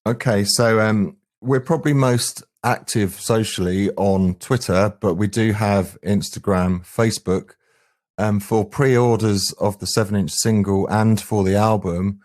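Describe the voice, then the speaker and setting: Low-voiced man, earnest. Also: darkish tone. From England, UK. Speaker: band singer and guitarist, guest. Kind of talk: casual interview chat.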